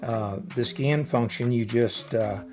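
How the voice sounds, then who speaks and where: A man's voice: mid-range voice, takes it slowly. A man giving a casual hands-on product demonstration in a plainspoken, step-by-step explanatory way. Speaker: product reviewer.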